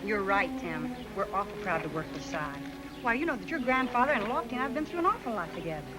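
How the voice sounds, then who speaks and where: Casting: female actor as kindly protective cowgirl, American, fast-talking, mid-range voice, vintage Western. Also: medium pitch, very animated, music in the background, close to an everyday voice. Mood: bright, reassuring. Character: upbeat, protective young cowgirl sharpshooter. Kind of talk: 1950s TV Western acting.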